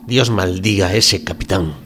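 A man acts out a deferential ship's doctor in a radio drama. He has a lowish voice and explains things with formal, fussy courtesy. There is faint background noise around him. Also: moderate pace, fairly bright tone. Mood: insistent, anxious. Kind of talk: amateur radio drama reading.

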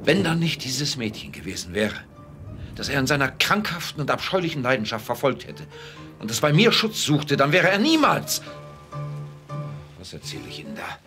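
A man's voice: mid-range voice, big pitch swings, moderate pace, lightly acted. An actor playing a wary, indignant man in a radio play, with a tense, earnest, dramatic delivery. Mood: bitter. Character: wary, indignant man confronting a dangerous villain. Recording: music under the voice.

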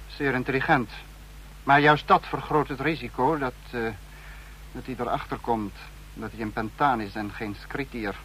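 An actor playing a cold, calculating male security chief in a vintage radio drama, speaking in a measured, commanding way. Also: medium pitch, fairly even intonation, a bit bright, breathy edge, low-quality recording. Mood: cold, brooding. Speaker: spymaster in a radio play.

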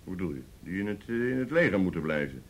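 A man acting in a radio play as a bigoted, belligerent younger brother, speaking in a halting, goading way. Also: sits low, big pitch swings, full character voice. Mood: sneering, goading. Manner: halting, goading, full of tag questions. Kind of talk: naturalistic Dutch radio play dialogue.

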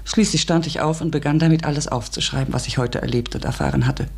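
An inquisitive, ambitious woman in a literary radio drama. Her voice is breathy and husky, and she speaks earnestly, reflectively and with polite hesitancy. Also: deep voice, quick pace, a little mellow, full character voice. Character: eager, curious, ambitious woman narrating her strange discovery. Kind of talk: literary radio play narration and dialogue.

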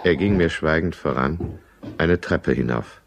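An actor in a German radio drama playing a bitter, runaway alcoholic husband, both as literary narrator and as a peevish, commanding character. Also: male voice, extremely low pitch, a little slow, vocal fry, background noise, heavily acted. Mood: brooding narration. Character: bitter, runaway alcoholic middle-class husband telling his downfall.

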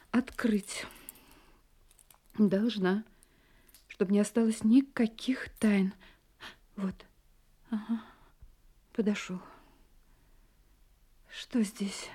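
A woman's voice: medium pitch, steady tone, very slow, pauses often, warm tone, slightly rough. A woman acting an anxious, guilt-ridden young wife in a radio play, often talking to herself in agitated whispers. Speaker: heroine and narrator of a radio play.

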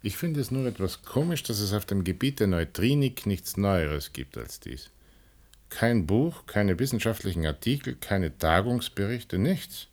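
A wry, cautious older man with a deep voice. He is a conspiratorial uncle in a radio play, careful about what he gives away. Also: big pitch swings, measured pace, full character voice. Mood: puzzled. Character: cautious, wry old uncle smuggling forbidden things. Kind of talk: German radio drama acting.

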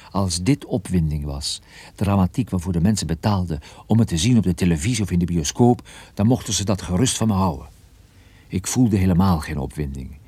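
A brooding private eye telling his own story in a radio drama. The man's voice is deep and husky, sombre and measured, recorded close and very dry. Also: warm tone, no echo. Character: brooding, world-weary male private detective narrating his story. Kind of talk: first-person scripted radio drama narration.

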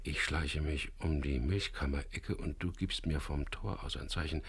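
An actor playing a resolute, daring hero who also narrates his story in a gravelly voice in a radio crime drama. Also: a bass voice, steady tone, normal speed, exaggerated voice. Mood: hushed, resolute.

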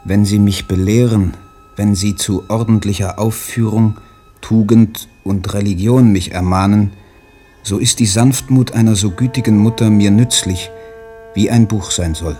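An actor playing a withdrawn, submissive poet son reading out stilted, deferential letters in a radio play. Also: male voice, on the low side, steady tone, unhurried, mellow, faint music behind, lightly acted. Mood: stiff, submissive.

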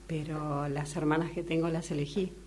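A woman's voice: deep voice, flat delivery, normal speed, a little mellow. A woman chatting casually face to face in a workshop exercise. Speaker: radio drama workshop participant. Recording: humming, faintly noisy recording.

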